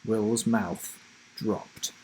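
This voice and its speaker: A man reading a children's story aloud in a dramatic, deliberate narrating style. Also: on the low side, flat delivery, a little mellow, slight background noise. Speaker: storybook reader, host.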